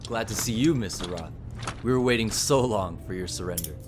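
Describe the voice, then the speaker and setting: A man's voice: fairly low pitch, brisk, noisy background, natural voice. A man playing a smug guard who mockingly greets a surrendering boss.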